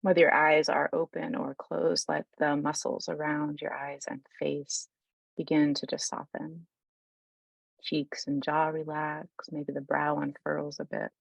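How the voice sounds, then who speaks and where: Casting: female meditation guide, deep register with gravelly fry, soothing and informal, unhurried delivery, close dry sound. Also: little pitch movement, no room sound, low-quality recording. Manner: soothing, unhurried, informal, with pauses mid-thought.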